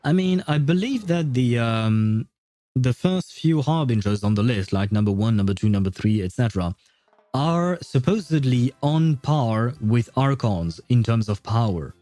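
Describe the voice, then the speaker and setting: Mellow-voiced man chatting on a livestream. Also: mid-range voice, animated, a little slow, no echo. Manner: informal, rambling, mild swearing. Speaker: livestream game streamer. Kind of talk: casual livestream chat and commentary. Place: indoor streaming setup, dry. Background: faint game music.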